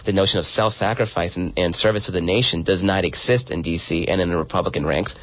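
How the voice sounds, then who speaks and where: Earnest man with a low voice. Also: steady tone, fast talker, fairly bright tone. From El Salvador. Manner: earnest, frequent restarts and repeated words. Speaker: guest author and blogger. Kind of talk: conversational interview answers.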